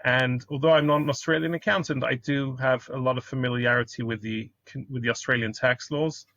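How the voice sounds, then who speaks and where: A man's voice: medium pitch, fairly even intonation, fairly quick, a bit bright, dry recording. A middle-aged British man, an accountant, answering interview questions over a remote call in a polite, explanatory way, often restarting his sentences. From United Kingdom. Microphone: remote call microphone. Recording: dull, dry remote call audio.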